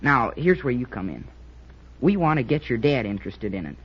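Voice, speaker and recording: Eager, lively schoolboy cadet. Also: male voice, medium pitch, brisk, slightly rough. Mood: eager, confiding. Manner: chatty, boyish, earnest explaining. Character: eager, joking schoolboy cadet named Jerry, male. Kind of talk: old-time radio drama acting. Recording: muffled vintage radio recording.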